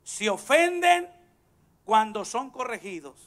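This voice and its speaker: A man in his thirties preaching from a pulpit on a church stage into a handheld microphone, building from calm teaching to shouts. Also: very high voice, slow pace. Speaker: pastor. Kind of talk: bilingual church sermon.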